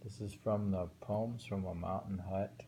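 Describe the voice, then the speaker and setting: Slow, gravelly man reading poetry. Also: low voice, fairly even intonation, mellow, slight background noise. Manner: musing, thinks aloud and rereads lines. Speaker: poetry reader. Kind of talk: reading poems aloud with casual commentary.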